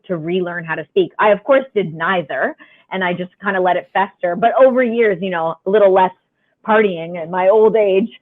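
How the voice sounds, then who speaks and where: A woman guest on a podcast with a raspy voice, telling her story frankly and chattily over a remote call. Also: on the low side. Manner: frank, chatty, laughs often. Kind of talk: candid conversational storytelling. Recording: tinny phone-line sound.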